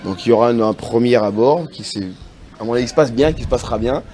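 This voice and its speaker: A man from France speaking as a TV studio guest, earnest and halting, often restarting his sentences. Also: medium pitch, a little mellow. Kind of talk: casual TV interview answers. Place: TV studio set.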